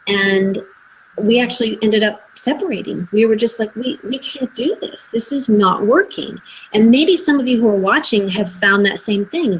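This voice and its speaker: A woman's voice: mid-range voice, a little hiss or hum, low-quality recording. A woman hosting a live video chat, telling her own story earnestly with fillers and restarts. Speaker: host. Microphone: video call.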